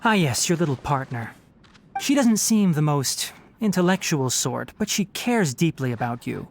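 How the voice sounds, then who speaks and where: Fox-eared young game ranger, low register, very animated intonation, bookish, articulate, wry and teasing. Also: female voice, normal speed, a little hiss or hum. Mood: earnest advice. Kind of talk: scripted game character voice lines.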